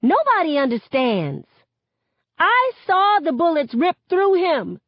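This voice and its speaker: A woman's voice: medium pitch, sing-song, unhurried, slightly rough, no room sound, lo-fi sound, heavily acted. A woman voicing a hurt, fiery teenage girl in an audiobook, with an emotional, impassioned delivery. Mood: anguished, angry.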